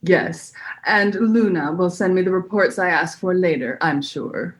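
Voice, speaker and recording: Suspicious military aide, female actor: low, measured, clipped formal delivery for a radio space comedy. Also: close to an everyday voice. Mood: distrustful. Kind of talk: comedy sci-fi radio acting.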